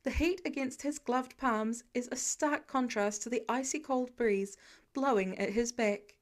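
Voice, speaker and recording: A woman reading scripted fiction as an expressive audiobook-style narrator. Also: fairly high pitch, a little slow. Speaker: podfic narrator.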